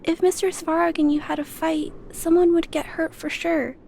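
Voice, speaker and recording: A woman playing a gentle, timid yet stubborn little girl in a video game, speaking politely and hesitantly. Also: extremely high pitch, little pitch movement, moderate pace, vocal fry, slight background noise. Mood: timid, earnest. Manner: soft-spoken, polite, hesitant.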